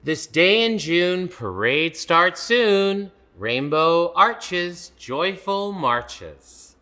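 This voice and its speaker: Animated, bright-voiced man co-hosting storytime. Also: mid-range voice, drawn-out words. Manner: theatrical, exuberant. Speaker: children's storytime co-host. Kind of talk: playful chat and expressive reading for children.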